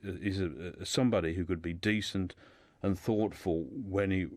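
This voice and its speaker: A man's voice: deep voice, fairly even intonation, normal speed, a little mellow, dry recording. A man speaking as a guest in a radio discussion, telling a life story informally and often repeating words as he builds his sentences.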